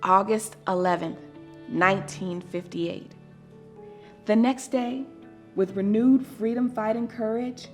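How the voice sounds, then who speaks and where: Slow, expressive woman reading a children's story. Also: mid-range voice. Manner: deliberate, expressive, dramatic storytelling delivery. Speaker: storybook reader. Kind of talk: scripted children's story read aloud.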